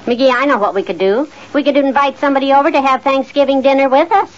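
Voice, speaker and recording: An actress playing a patient, gently teasing middle-aged wife in an old-time radio comedy. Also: female voice, high voice, fast talker, lightly acted. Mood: homely, chatty. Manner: gently chiding. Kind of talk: 1940s-50s radio comedy acting. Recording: muffled old radio recording.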